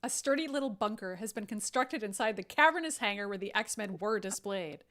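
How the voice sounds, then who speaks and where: A woman's voice: medium pitch, expressive, a little fast, a bit bright. A woman host reading screenplay stage directions aloud, with casual asides. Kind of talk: script narration with casual asides.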